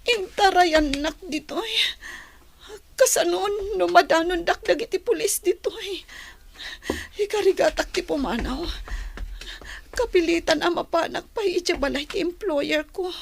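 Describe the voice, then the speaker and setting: Actress as ailing young daughter, very high pitch, slow pace, plaintive, tearful, Filipino accent, radio drama. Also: female voice, creaky, heavily acted. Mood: alarmed, upset. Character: distressed, ailing young woman, a daughter abroad. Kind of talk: Ilocano radio drama acting.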